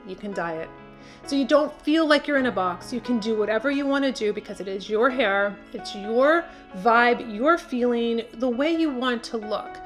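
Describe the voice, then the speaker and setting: A woman's voice: fairly high pitch, moderate pace, soft background music. A woman hosting a beauty channel, speaking to camera and giving tips in an emphatic, encouraging way with repeated key words.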